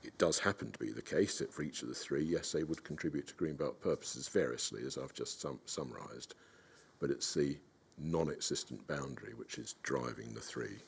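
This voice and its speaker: A man presenting a case at a hearing, arguing in a measured, formal, explanatory way. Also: a bass voice, gravelly, slight background noise. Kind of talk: formal legal argument.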